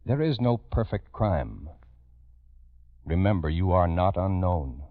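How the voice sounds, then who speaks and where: A man's voice: deep voice, lively intonation, slow pace, no room sound. A man hosting in 1950s true-crime radio style, speaking solemnly and emphatically, with dramatic direct address to listeners. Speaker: radio host and narrator. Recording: dull vintage radio recording.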